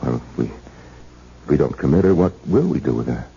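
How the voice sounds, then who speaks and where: An actor playing a suave, secretly conspiring fiancé in a 1970s radio drama, polished and theatrical with an uneasy edge. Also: male voice, extremely low pitch, sing-song, normal speed, vocal fry, exaggerated voice. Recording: muffled, noisy old radio broadcast.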